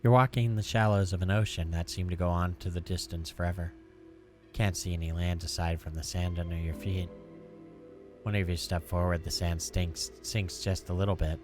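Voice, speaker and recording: A man's voice: low-pitched, level tone, a little fast. A man reading visual novel text aloud as a let's play, sometimes stumbling and rereading a line. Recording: music behind the voice. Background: game music with guitar.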